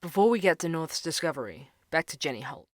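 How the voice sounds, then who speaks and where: A man's voice: fairly high pitch, animated, quick pace, no room sound, clean studio sound, natural voice. An actor playing an earnest, curious young man who hosts and narrates an investigative podcast in an audio drama. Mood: narrating.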